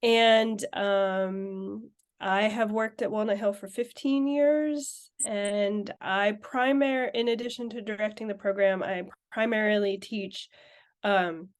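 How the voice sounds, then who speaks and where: Mid-pitched female director; earnest, self-correcting presenter on a video call. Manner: earnest, thinks aloud with restarts. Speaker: program director and teacher. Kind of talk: informal presentation.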